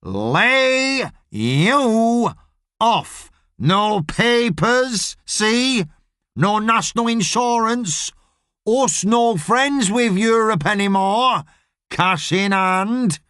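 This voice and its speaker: A man acts out a shady middle-aged boss from an audiobook. He is gruff and blunt, barking irritably in a raised, animated voice with a very dry, close sound. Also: high voice, drawn-out words, dry recording, voice pushed up, exaggerated voice. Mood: sarcastic. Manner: blunt, sweary, shouty. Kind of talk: audiobook character voice.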